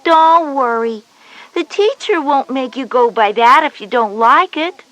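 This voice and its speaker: A woman's voice: high voice, animated, unhurried, fairly bright tone, dry recording. A woman playing a knowing, slightly bossy older-sister schoolgirl, explaining things emphatically in an educational audio story. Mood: reassuring, explanatory.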